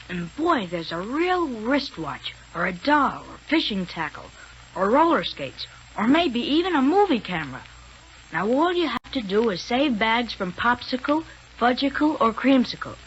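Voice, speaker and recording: A woman giving an eager 1930s radio sales pitch aimed at children. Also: fairly bright tone. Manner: eager, speaking directly to kids. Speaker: radio advertisement announcer. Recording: muffled, faintly noisy 1939 radio recording.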